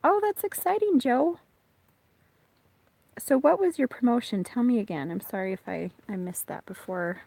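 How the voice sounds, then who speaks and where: Soft, slightly raspy woman livestreaming. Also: on the high side, lively intonation, moderate pace, no echo. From Minnesota. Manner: soft-spoken, often hushed, laughing to herself. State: congested from allergies after a chest cold. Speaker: livestream host. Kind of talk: casual live-stream chat with viewers. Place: outdoors during a thunderstorm. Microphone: phone held outdoors.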